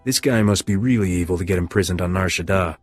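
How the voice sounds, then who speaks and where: An actor playing a wry, cocky smuggler captain with a flippant way of talking in a video game. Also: male voice, sits low, fast, breathy edge, no room sound. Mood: wry, breezy. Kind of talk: video game character acting. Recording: music under the voice.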